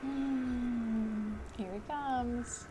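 A young woman casually commenting as she plays a video game at home, chatty and excitable, muttering to herself. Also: a little high, very slow, background noise. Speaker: gaming video host. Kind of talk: casual let's play commentary. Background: loud game music.

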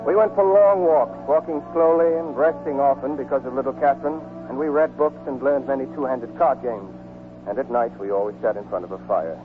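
An actor playing a steady, devoted American man in a plain, understated manner, in 1940s radio drama style. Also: medium pitch, a little fast, lightly acted. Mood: reflective. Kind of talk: 1940s radio drama acting and first-person narration. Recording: muffled 1940s radio recording with music.

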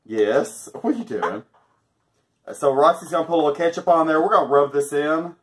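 A man's voice: fairly high pitch, animated, a little fast, slight background noise. Joking man in his thirties. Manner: joking, chuckles often. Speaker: vlogger testing a product. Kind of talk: casual product review chat. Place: small indoor room, backdrop with stars.

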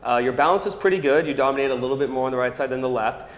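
Fast-talking man explaining in an echoey gym. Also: mid-range voice. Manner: fluent, instructive, coach-like. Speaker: startup product specialist. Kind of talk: product demo explanation. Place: large indoor gym with arched hallway.

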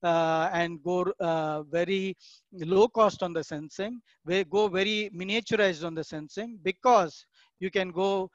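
A man giving an online keynote talk, measured and explanatory, with frequent "uh" pauses. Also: sits high, dry recording, dull audio. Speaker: keynote speaker.

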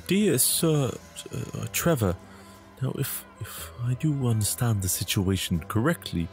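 A man voicing a polite, timid gentleman who speaks in formal, wordy, hesitant sentences. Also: medium pitch, sing-song, a little slow, faint music behind, pitched above the performer's own voice. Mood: anxious, polite. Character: polite, timid, fussy gentleman avoiding trouble.

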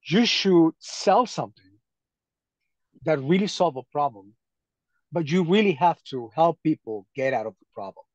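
A man from Venezuela talking casually on a group video call, haltingly, often repeating his phrases. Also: fairly high pitch, lively intonation, no echo. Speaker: call participant. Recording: dull lo-fi call audio.

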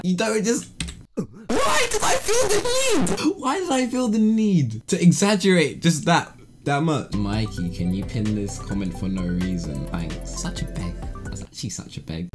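A man in his twenties with a British accent, giving casual, slangy, self-mocking reaction commentary. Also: mid-range voice. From Britain. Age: 20s. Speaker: YouTuber reacting to his old voice acting. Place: small bedroom with shelves, indoors. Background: background music.

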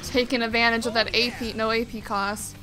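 A woman's voice: fairly high pitch, level tone, brisk, over music, rough recording. A woman doing casual, slangy, reactive gameplay commentary as a let's player.

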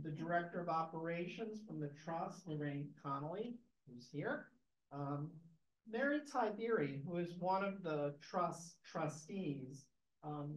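An older man, president of a preservation trust, giving relaxed welcome remarks with many fillers to an audience in a library meeting room, recorded from a camera in the audience. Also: fairly high pitch, vocal fry. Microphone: far camera in the audience. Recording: echoey room, dull lo-fi sound.

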